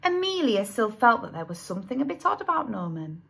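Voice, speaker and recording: A woman narrating a children's story expressively, with dramatic, drawn-out emphasis on key words. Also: on the high side, sing-song, gravelly, a bit bright, slightly rough. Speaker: storybook reader.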